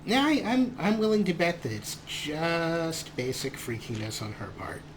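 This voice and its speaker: A man's voice: fairly high pitch, very animated, unhurried. A man hosting a let's play with casual, playful commentary, often talking to the game's characters. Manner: playful, talks to game characters. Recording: clear game ambience noise.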